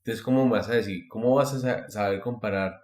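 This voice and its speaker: A man's voice: on the low side, fairly quick, a little mellow. A man, giving opinions emphatically and casually as a podcast co-host, often repeating phrases.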